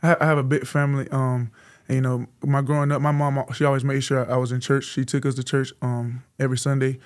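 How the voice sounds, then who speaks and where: Twenties male athlete from Florida, polite and soft-spoken, fast delivery, little pitch movement. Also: level tone, clear, resonant tone. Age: 20s. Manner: soft-spoken, polite, answers with "yes, sir". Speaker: college football player, guest. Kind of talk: casual interview answers.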